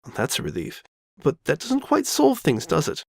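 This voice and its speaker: An elegant, genteel mare acted out by a man speaks with refined, theatrical poise. The pitch sits fairly high and swings expressively through formal, tender-hearted phrasing. It is a cartoon-pony drama, recorded close and dry. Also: wildly swooping pitch, normal speed, creaky, darkish tone, no echo, exaggerated voice. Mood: composed, concerned. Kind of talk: dramatic fan fiction reading.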